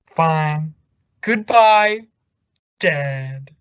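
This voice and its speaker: A man's voice: a little high, expressive, extremely slow, clear, resonant tone, no room sound. Man playing hearty, gossipy radio sitcom pal. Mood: gleefully conspiratorial. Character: jovial, gossipy, conspiratorial male friend and neighbour. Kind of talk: 1940s radio sitcom acting. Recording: dry, muffled old-time radio style recording.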